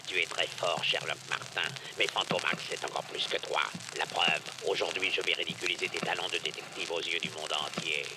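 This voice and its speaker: A menacing cartoon villain with a low voice. He is boastful and mocking, and he sounds like a radio message buried in loud noise. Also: steady tone, a little fast, hardly any pauses. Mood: mocking menace. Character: boastful masked villain taunting a young detective.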